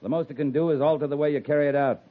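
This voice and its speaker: A man's voice: a little high, steady tone, rapid-fire, close to an everyday voice. Stern cavalry captain on muffled old radio played by a man. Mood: stern, impatient. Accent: American. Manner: clipped, authoritative. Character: stern, commanding US cavalry captain in frontier West. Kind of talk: 1950s radio drama acting. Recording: muffled 1950s radio recording.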